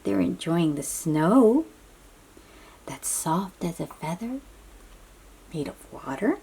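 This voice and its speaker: A woman with a soft, breathy voice reads a picture book aloud to young children, gently explaining and chatting to them as she goes. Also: fairly low pitch, very animated. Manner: slow, child-directed, encouraging questions and affirmations. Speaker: read-aloud storybook host.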